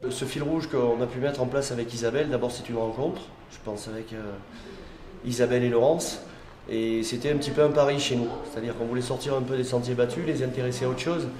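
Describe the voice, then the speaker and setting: A man in an interview talks through a project in a reflective way, pausing with hesitations. His mid-range voice sits in a room with a clear echo. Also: mid-range voice, fairly even intonation, a crowd in the background. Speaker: educator.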